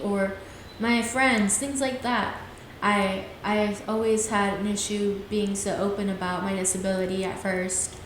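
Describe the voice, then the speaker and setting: A woman in her twenties hosting her own podcast, talking in an earnest, rambling way and often trailing off with "things like that.". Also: medium pitch. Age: 20s. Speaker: podcast host. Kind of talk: casual solo podcast talk. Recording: very echoey room, faint hiss.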